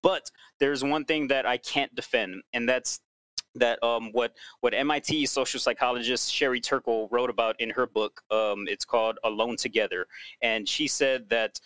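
A man speaking as a podcast guest in casual conversation, with a deeper voice and clear enunciation. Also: steady tone, moderate pace, fairly bright tone, no echo, poor audio.

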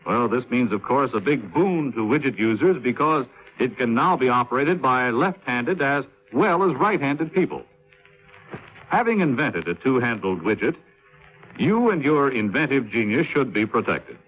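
A male radio announcer reading a scripted 1950s public-information piece in a measured, explanatory way. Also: medium pitch, big pitch swings. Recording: dull 1950s radio recording, faint music.

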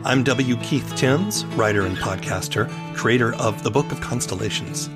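A man's voice: mid-range voice, moderate pace, over loud music. A man hosting a podcast in a scripted, presenting style as he introduces and interviews. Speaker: podcast host.

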